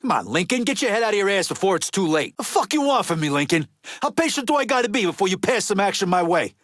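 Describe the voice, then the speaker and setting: A man's voice: sits high, very animated, fast, a bit bright, no echo. Game mobster: middle-aged Italian-American tough guy, East Coast accent, street-smart, swearing freely, dry studio sound. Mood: angry, threatening. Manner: blunt, profane, street-tough wiseguy talk. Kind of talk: video game character dialogue.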